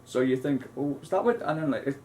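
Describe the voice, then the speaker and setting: A man's voice: medium pitch, expressive, fast, a little mellow, slight background noise, low-quality recording. A man, co-hosting a casual film chat, rambling with many restarts and asides. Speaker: co-host.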